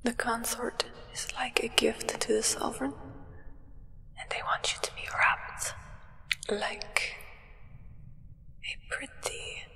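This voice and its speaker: A young woman acting a solemn, menacing vampire's acolyte in a hushed, deliberate ASMR roleplay whisper, close to the microphone. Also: a little high, little pitch movement, gravelly, heavily acted. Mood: sinister, savoring. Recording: whisper with long reverb.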